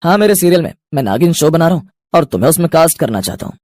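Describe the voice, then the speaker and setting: A man voicing a fussy, emphatic TV serial director in an animated moral story. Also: on the high side, rapid-fire, very noisy. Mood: decided. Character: fussy male TV serial director hunting a heroine.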